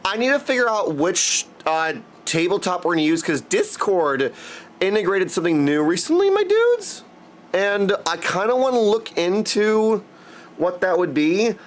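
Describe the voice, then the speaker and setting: A man's voice: high-pitched, big pitch swings, measured pace. A man livestreaming casually, greeting viewers with effusive affection as he chats. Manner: effusive affectionate greetings, often addressing listeners as dudes. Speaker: live streamer greeting chat.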